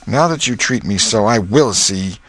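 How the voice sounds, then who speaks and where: A man's voice: fairly low pitch, expressive, moderate pace, a bit bright, a little hiss or hum, pitched above the performer's own voice. A man voicing a coy, eager little schoolgirl in an audiobook, sweetly pleading and insistent.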